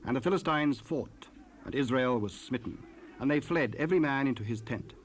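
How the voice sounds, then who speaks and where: Casting: male narrator for dramatized scripture, medium pitch, slightly noisy tape rip. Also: expressive, normal speed. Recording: noisy raw cassette rip.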